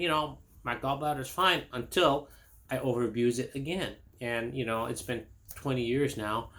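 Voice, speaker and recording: A man's voice: mid-range voice, a bit bright. A middle-aged man from Indonesia, a chiropractor, giving a casual health talk to camera. He rambles with many fillers, restarts and personal stories.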